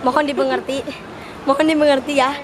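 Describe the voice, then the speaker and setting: A woman's voice: high voice, flat delivery, a little fast, crowd noise, noisy background. A teenage girl chatting playfully with friends while vlogging through a shopping mall on a handheld phone. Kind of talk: casual vlog chat with friends. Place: busy shopping mall, indoors. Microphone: handheld phone camera.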